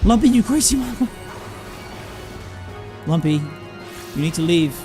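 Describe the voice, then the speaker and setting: A man doing excited live game commentary as a streamer, exclamatory, repeating himself and swearing casually. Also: sits high, unhurried, over music. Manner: exclamatory, repeats words and phrases.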